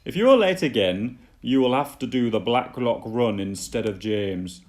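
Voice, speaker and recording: A man voicing a stern, commanding male railway controller in a children's storybook, loud and authoritative. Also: expressive, measured pace, natural voice. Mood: cross, scolding. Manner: booming, authoritative.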